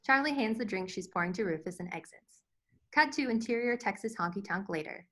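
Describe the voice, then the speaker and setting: A woman's voice: medium pitch, lively intonation, a little fast, a bit bright. A woman reading stage directions as narrator in scripted narration at a table read.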